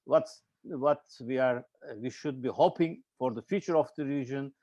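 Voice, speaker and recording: A man from Turkey with a Turkish accent, moderating an online panel in a halting, hesitant way with frequent repeated words. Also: medium pitch, a little mellow, no room sound, lo-fi sound. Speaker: webinar host and moderator. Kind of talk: informal spoken introduction of a panel. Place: online video webinar.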